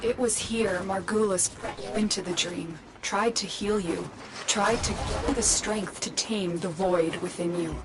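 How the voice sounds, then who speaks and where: A woman playing a composed, motherly mentor to young warriors in a video game, speaking in a measured, solemn, commanding way. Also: medium pitch, noisy background, close to an everyday voice. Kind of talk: scripted video game voice acting. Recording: music behind the voice. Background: game music.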